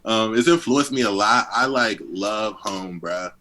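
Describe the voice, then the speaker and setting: Deep-voiced young man from D.C. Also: measured pace, pushed and bright. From Southeast Washington, D.C. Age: 20s. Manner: laid-back, heavy on fillers, chuckles often. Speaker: guest rapper. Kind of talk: casual interview chat.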